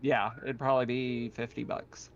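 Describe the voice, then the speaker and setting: A man's voice: medium pitch, level tone, moderate pace. A man running a tabletop roleplaying game casually as game master over a voice call. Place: online voice call. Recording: dull, noisy voice call.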